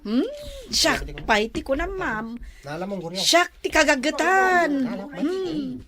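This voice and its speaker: A woman's voice: high voice, expressive, takes it slowly, crowd noise. A woman playing a lazy, stubborn, whiny village street sweeper in a comedy skit. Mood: meek. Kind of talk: comedy skit dialogue in Ilocano.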